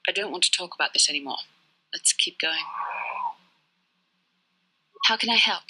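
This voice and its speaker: A woman's voice: lowish voice, moderate pace, sharp tone, husky, full character voice. A woman playing a proud, guarded young warrior-monk and resentful daughter in a video game, speaking formally, clipped and earnest. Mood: troubled, resentful. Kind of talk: dramatic scripted game dialogue.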